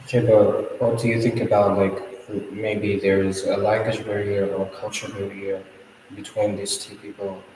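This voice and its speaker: Teen boy student from Kazakhstan, hesitant discussion, flat low voice, echoey classroom with a long reverb. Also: slight background noise. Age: teens. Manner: hesitant, frequent "uh" and "like". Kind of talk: spoken English exam discussion. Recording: echoey room from far off.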